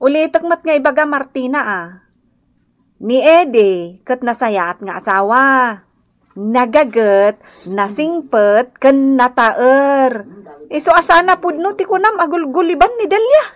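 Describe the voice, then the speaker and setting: High voiced neighbor lady, doubtful n scolding, ilocano radio drama. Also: a little slow, no echo. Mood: chiding. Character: disbelieving neighbor woman defending others, mildly scolding.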